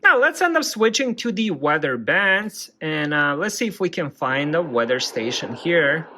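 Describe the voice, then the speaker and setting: A man's voice: a little high, big pitch swings, normal speed, noisy background. Man casually walking through a product. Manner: plain explanatory, step by step. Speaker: product reviewer. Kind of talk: casual product review, hands-on walkthrough.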